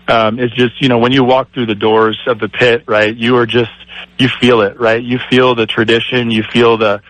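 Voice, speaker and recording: A middle-aged man, an athletic director guest on a radio show, speaking with a raspy voice in a grateful tone with many fillers. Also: mid-range voice, fairly bright tone, dry recording, low-quality recording. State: raspy from screaming. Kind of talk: phone-in radio interview.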